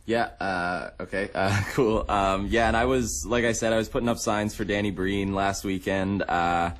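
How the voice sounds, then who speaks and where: Young guy on a radio show, deep voice, casual chatty, kinda halting. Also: fairly even intonation. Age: young adult. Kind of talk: casual chat. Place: radio studio.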